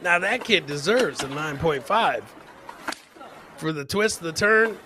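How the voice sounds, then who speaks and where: A man's voice: fairly high pitch, animated, takes it slowly, background noise. A middle-aged man doing casual, jokey live commentary on video clips as a comedian host, often repeating his catchphrases. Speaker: comedian hosting a livestream clip show.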